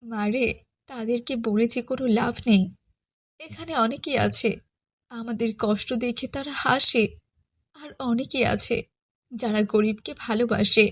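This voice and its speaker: A woman's voice: a little high, a little slow, long pauses, fairly bright tone, husky, dry recording, heavily acted. A woman voicing a poor, humble widowed mother in a cartoon, tender and earnest with her children. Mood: resigned. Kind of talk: Islamic cartoon dialogue.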